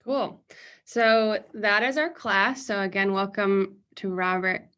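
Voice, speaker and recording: Woman teacher on a video call, mid-pitched voice, short, encouraging cues as she calls on each student. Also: slow pace, a bit bright. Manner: brief, encouraging prompts. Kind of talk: leading class introductions.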